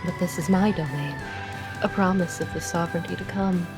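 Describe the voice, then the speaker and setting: A woman's voice: on the low side, a little fast, over music, close to an everyday voice. A woman voicing an arrogant, sadistic would-be goddess in a visual novel, haughty and theatrical as she savors her words. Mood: gloating. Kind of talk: dramatic visual novel monologue.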